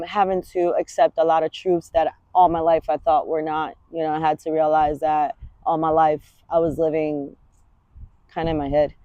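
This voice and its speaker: A woman's voice: deep voice, warm tone, dry recording, lo-fi sound. A woman, a fitness coach talking candidly in a rambling, casual vlog and swearing freely. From Washington Heights, New York. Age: 30s.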